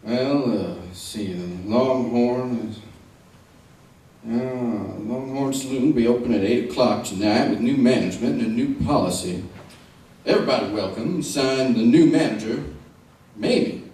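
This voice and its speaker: A man playing a steady, dry-witted western marshal who talks in a hesitant, folksy way in a radio drama. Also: mid-range voice, measured pace, natural voice. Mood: mildly exasperated. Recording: distant, very echoey stage-like sound.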